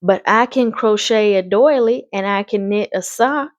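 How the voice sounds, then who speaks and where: A woman's voice: medium pitch, measured pace, no room sound, muffled recording, close to an everyday voice. A woman voicing a fretful, proper mother in a storybook, with an anxious, earnest and rather vague manner. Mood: nervous, puzzled.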